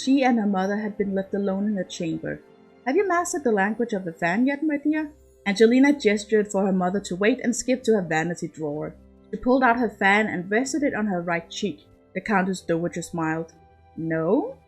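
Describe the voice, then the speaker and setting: A woman reading scripted fiction aloud as narrator, with a careful, expressive storytelling manner. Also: medium pitch, a little fast, breathy edge, faint music behind. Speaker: podfic reader.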